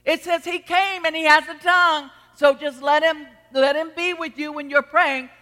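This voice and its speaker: A woman preacher with a Texan accent delivers a sermon in a high, bright voice. She is emphatic, repeating phrases and putting questions to her congregation. The sound carries the long reverb of a large hall. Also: steady tone, normal speed. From Texas.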